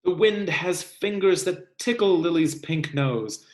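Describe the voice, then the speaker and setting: An American man reads a children's picture book aloud with expressive, deliberate storyteller phrasing and the occasional casual aside. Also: high voice. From California, United States. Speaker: read-aloud host, teacher reading to children. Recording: echoey room.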